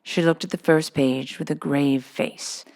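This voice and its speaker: A woman narrates a children's story from the page. Her voice sits a little low and carries noticeable fry as she reads the scripted prose. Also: fairly even intonation, unhurried, darkish tone. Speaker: storybook reader.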